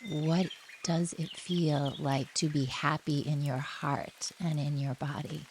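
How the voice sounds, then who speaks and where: Slow, gentle woman guiding meditation over rain. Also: low voice. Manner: gentle, soothing, drawn-out pauses between short phrases. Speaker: yoga nidra guide. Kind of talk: guided relaxation meditation. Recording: steady rain noise behind the voice. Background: rain ambience.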